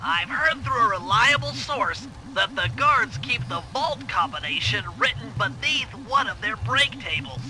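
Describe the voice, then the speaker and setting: Casting: brainy cartoon turtle tactician, extremely high voice, confident technical briefer, game score underneath. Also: male voice, lively intonation, unhurried, few pauses, pushed and bright, exaggerated voice, poor audio. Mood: focused planning. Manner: precise, technical, verbose briefing. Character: nerdy brainy turtle tactician, fussy, confident gadget planner. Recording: game music behind voice. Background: game music.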